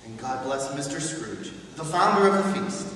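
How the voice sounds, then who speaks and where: A man playing a gentle, loving poor clerk and father in a dramatic reading, tender and reverent. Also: a little high, lively intonation, moderate pace. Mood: warm, gentle. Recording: distant, echoey hall, some hiss.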